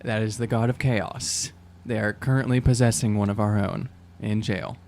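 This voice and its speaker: A man's voice: lowish voice, steady tone, normal speed, vocal fry, darkish tone, a little hiss or hum. A man in casual improvised roleplay as a player on a tabletop game podcast, hesitant and dry.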